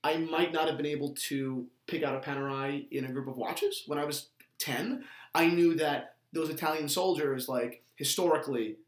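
Young American man in a roomy space. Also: fairly high pitch. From New Jersey, United States. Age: 20s. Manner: halting, repeats words as he goes. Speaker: watch show host. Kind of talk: casual chat. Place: small indoor room, seated at a table. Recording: roomy indoor echo.

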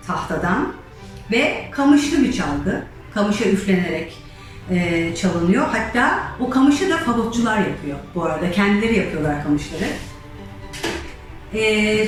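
A woman hosting an educational musical storytelling session, explaining things and addressing her audience directly. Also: mid-range voice. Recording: very echoey, distant microphone, faint music.

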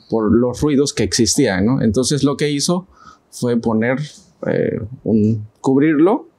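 A man's voice: mid-range voice, darkish tone. A man in his thirties with a Mexican accent, hosting a studio Bible study and putting questions to the panel, with frequent hesitations. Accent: Mexican Spanish. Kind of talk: casual Bible study discussion. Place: TV studio set. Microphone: lapel.

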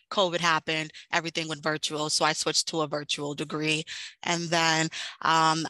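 Bright-toned woman with a flat, even delivery. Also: sits low, no echo, low-quality recording. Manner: candid, upbeat, with frequent ums. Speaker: panelist. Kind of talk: personal career story on a panel.

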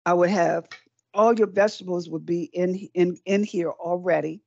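A woman's voice: fairly low pitch, fairly even intonation, normal speed, creaky, darkish tone, no room sound. A middle-aged woman giving a live cooking demonstration, chatty and encouraging, often repeating words while she works at the stove. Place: small indoor set with folding screens. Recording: dry, dull lo-fi sound.

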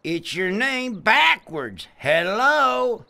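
An actor voicing a goofy, good-natured hillbilly tow truck in an animated game, with a drawling Southern US accent. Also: male voice, sits high, extremely slow, gravelly, full character voice. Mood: muddled. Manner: folksy, drawling, simple-minded. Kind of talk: animated game cutscene acting.

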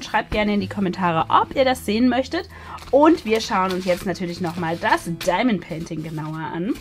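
A woman chatting casually as she hosts a craft demonstration, thinking aloud while she works. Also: a little high. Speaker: host of a craft haul video. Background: music.

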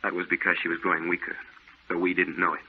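An actor in an old-time radio drama playing a haunted, guilt-ridden painter, earnest and confessional. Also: male voice, deep voice, monotone, fast, a little hiss or hum. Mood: regretful. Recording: muffled, narrow old radio recording.

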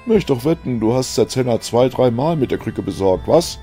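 Man putting on a high, brash, taunting girl. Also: animated, normal speed, mellow, soft background music, natural voice. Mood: lewd, taunting. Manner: sing-song, taunting.

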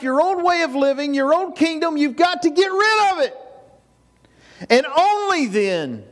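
An older man preaching a sermon to his congregation. He builds to emphatic peaks and repeats key phrases, recorded by a far camera in a small church. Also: extremely high pitch. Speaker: pastor. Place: small church sanctuary with projection screen. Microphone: far camera, no mic seen.

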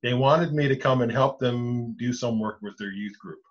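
Measured priest on a muffled video call. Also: male voice, mid-range voice. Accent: Newfoundland English. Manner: measured, explains step by step with many restarts. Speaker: Anglican priest, rector. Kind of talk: explanatory interview answers. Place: home study with bookshelves. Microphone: video call webcam.

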